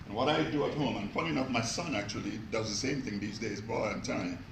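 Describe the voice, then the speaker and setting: A man giving a motivational talk to a live audience, energetic, asking them questions and calling out to them. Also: mid-range voice, fast talker, vocal fry, reverberant, a crowd in the background, poor audio. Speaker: motivational speaker. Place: echoey room with an audience.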